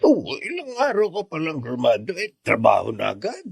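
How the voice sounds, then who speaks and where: An actor playing a patient, wise middle-aged neighbour with a gravelly voice, giving fatherly advice in a radio drama. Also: fairly high pitch, huge pitch swings, normal speed, no echo, full character voice. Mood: curious. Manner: fatherly, advising.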